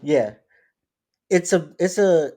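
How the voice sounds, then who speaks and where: A man co-hosting a casual podcast, telling anecdotes with animated bursts, laughing and swearing playfully. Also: on the high side, slow. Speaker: podcast co-host. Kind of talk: casual storytelling chat.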